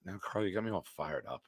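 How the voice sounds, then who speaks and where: A man in his forties hosting a live-stream show, reading viewer comments aloud and bantering bluntly with a smooth, deep voice. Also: no room sound, studio quality. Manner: banters with chat, blunt and profane.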